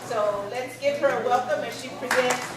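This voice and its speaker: A woman acting as emcee, lively and teasing as she announces prizes and introduces a speaker. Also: sits high, moderate pace, heavy background noise. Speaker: event emcee. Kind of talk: announcing and joking with the audience. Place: large echoey college hall. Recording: very echoey hall, distant mic, music in background.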